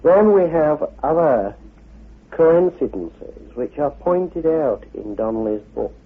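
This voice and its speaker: A man giving a measured expository talk as an esoteric author. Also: on the high side, animated, slightly rough. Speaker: author and head of an esoteric order. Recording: dull lo-fi radio recording.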